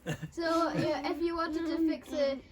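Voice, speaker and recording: Woman club member, high and animated voice, laughs easily, noisy call line. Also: moderate pace. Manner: repeating words, laughing easily.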